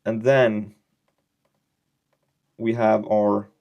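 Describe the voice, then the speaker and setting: A young man with a slightly low voice teaches trading in a relaxed, conversational way. He explains loosely and keeps checking in with his listener. He drops slangy, joking asides as he goes. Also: drawn-out words, vocal fry, lo-fi sound. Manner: loose, chatty explaining with frequent check-in questions. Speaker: trading educator. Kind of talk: casual screen-share trading lesson.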